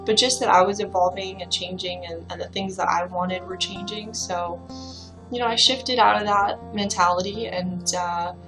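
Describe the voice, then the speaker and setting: A young woman from the Bahamas who works as a fitness trainer tells her own story straight to the camera, easygoing and reflective, leaning on plenty of fillers. Her voice sits at a middle height, and clear music plays under her. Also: medium pitch, steady tone, normal speed, low-quality recording. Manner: casual and reflective, with many fillers.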